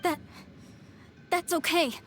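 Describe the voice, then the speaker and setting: A woman's voice: very high voice, fairly even intonation, slow pace, a bit bright, heavily acted. A woman playing a devout, indignant young follower of a fallen god in a video game, earnest and declamatory. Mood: resolute. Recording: music behind the voice.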